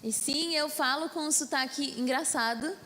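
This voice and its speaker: A woman's voice: high-pitched, moderate pace, pushed and bright. A Brazilian woman interpreting a sermon live, echoing each phrase right after the preacher. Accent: Brazilian Portuguese. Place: church hall. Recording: reverberant hall with faint music.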